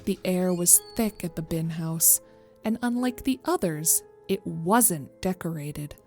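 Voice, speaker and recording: A woman's voice: fairly low pitch, lively intonation, measured pace, dark tone, faint music behind. A woman reading scripted narration of a horror story as the narrator.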